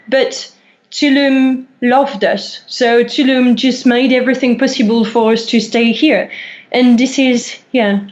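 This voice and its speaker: A woman from France with a French accent, a guest telling her story in halting English full of fillers and self-corrections. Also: a little high, darkish tone, lo-fi sound. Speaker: guest, dive instructor. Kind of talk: interview storytelling.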